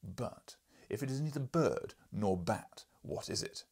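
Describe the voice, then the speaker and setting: A man's voice: on the low side, expressive, measured pace, creaky, a little mellow, slightly rough, full character voice. A man voicing an imperious, irascible older professor and explorer in an audiobook, lecturing pompously in a declamatory way. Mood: severe, lecturing. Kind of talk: audiobook character reading.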